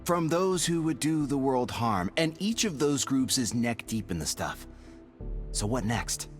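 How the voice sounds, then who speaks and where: An actor voicing a wry, joking squad agent in a video game, with dry humor. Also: male voice, medium pitch, measured pace, faint music behind. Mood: serious. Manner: dry humor, lively delivery.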